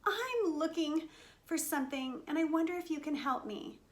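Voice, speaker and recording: A woman voicing an eager, polite little country mouse, a curious boy with a bright, earnest, childlike manner, for a children's story. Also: high-pitched, expressive, moderate pace, pitched above the performer's own voice. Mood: friendly, hopeful.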